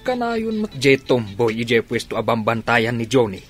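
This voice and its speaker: A man playing a nosy, incredulous father in an Ilocano radio drama, with a husky voice. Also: mid-range voice, sing-song, normal speed, over music, lightly acted. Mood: curious, surprised. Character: nosy, incredulous father gossiping with his child.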